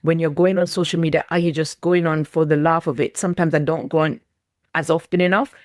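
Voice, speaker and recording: Jamaican woman mentor, low voice, emphatic and repetitive, dry recording. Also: fairly even intonation, quick pace, a little mellow, dry recording. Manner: emphatic, repeats key phrases. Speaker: guest, business mentor and speaker. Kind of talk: conversational interview, motivational.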